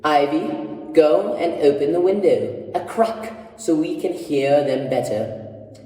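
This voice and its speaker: A man voicing a brisk, exasperated young daughter in a drama, with a sharp-tongued, urgent manner. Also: on the high side. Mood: bossy. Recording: echoey room, distant microphone.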